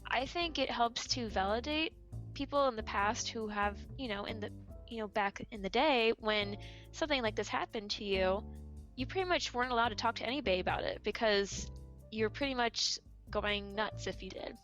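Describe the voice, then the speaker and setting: Woman podcast guest, casual and laughing, fairly high voice, faint music behind. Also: moderate pace, a bit bright. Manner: casual, many "like" and "I mean" fillers, light laughs. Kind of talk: casual conversation on a call.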